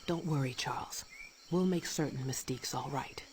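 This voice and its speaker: A man playing a grave, dignified mutant commander with a husky voice in a video game. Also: mid-range voice, moderate pace, a little mellow, a little hiss or hum, natural voice. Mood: assured. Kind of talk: video game cutscene acting.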